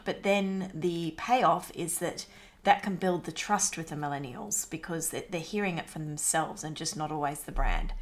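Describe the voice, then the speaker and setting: A woman presenting a marketing assignment as a casual screen-recorded walkthrough, talking conversationally with frequent fillers. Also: lowish voice. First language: English.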